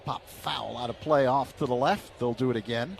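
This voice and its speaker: Steady male radio play-by-play caller. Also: vocal fry, a little hiss or hum. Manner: steady, measured calling of each pitch.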